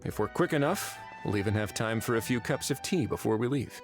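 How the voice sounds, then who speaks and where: A man voicing an affable, easygoing male official in a video game, relaxed and lightly wry. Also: medium pitch, fairly quick, close to an everyday voice. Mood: brisk, friendly. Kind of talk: video game dialogue acting. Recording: game music behind the voice. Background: background music.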